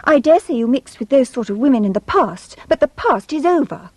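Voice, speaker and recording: A prim young wife, posh and reproachful, in a farce. Her high voice is soft-edged and very lively, recorded dry and close. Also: fairly quick, dry recording. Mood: chiding. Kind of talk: British farce acting.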